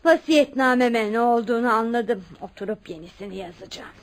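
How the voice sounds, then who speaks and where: An actress in a radio play playing a wealthy elderly aunt, stubborn, haughty and firm. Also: female voice, a little high, a little fast, soft background music, natural voice. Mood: brisk, puzzled. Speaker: radio drama actor. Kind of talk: Turkish radio theatre acting.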